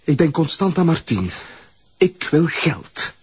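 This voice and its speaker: An actor with a Flemish accent playing a sly, greedy blackmailer who teases with mocking endearments in a radio play. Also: male voice, a little high, sing-song, takes it slowly, breathy edge, heavily acted. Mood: taunting. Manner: teasing, sing-song, mocking endearments. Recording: dull lo-fi radio recording.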